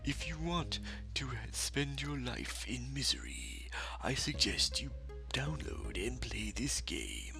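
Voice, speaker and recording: A man delivering an opinionated scripted game review rant in an emphatic, hyperbolic, dramatic way with a gravelly voice. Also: mid-range voice, big pitch swings, slow pace, music in the background.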